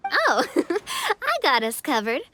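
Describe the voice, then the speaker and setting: A high, bright young queen from a fairytale game. She is kindly and confident, with an upbeat, animated lilt, recorded close and dry. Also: slow, no echo, full character voice. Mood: breezy confidence. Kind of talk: English game voice acting.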